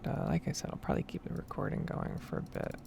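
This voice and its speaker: A woman speaking in a soft, breathy whisper, rambling casually in an ASMR gameplay style, close to the mic with typing and clicking behind her. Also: fast talker, noisy background. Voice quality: breathy, soft-spoken whisper with heavy vocal fry. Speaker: gaming streamer and ASMR creator.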